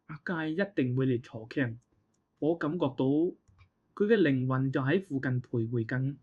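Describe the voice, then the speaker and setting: A man's voice: mid-range voice, measured pace, darkish tone, husky, highs cut off. A man acting a resentful jilted lover brooding over lost love in an audio drama. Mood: eerie conviction.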